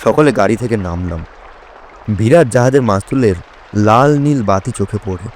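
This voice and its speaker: A man playing a detective's friend who narrates a mystery in measured, atmospheric literary storytelling. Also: on the low side, lively intonation, darkish tone, slight background noise, close to an everyday voice. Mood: measured, suspenseful. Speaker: narrator in an audio drama.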